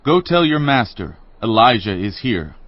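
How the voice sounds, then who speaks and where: A man's voice: medium pitch, big pitch swings, moderate pace, dry recording, highs cut off, lightly acted. A man playing a resolute, commanding prophet in a dramatised audio Bible, speaking in an earnest, declamatory way.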